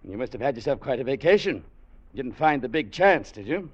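Brisk, needling old-radio boss. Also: male voice, medium pitch, sing-song. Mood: jovial prodding. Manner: brisk, prodding questions. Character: needling, inquisitive male boss. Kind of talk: 1940s radio drama acting. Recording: dull vintage radio broadcast.

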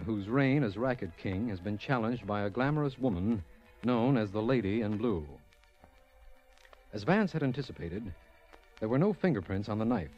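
Actor as an earnest radio district attorney. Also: male voice, medium pitch, darkish tone, natural voice. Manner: formal, crisp, declamatory. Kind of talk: 1940s radio drama acting and narration. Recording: dull old radio recording, music behind.

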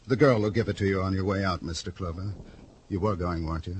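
An actor playing a smooth, ingratiating publisher in an old radio drama, fussy and evasive. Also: male voice, low-pitched, fairly even intonation, quick pace, very noisy, lightly acted. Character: oily, greedy middle-aged male publisher. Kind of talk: 1950s radio drama acting.

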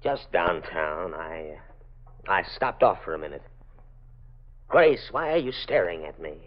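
Low-voiced, troubled father. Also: sing-song, a little slow. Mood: weary, uneasy. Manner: hesitant, tense, defensive. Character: troubled, desperate father, a failed painter. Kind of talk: 1940s radio drama acting. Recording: muffled 1940s radio recording.

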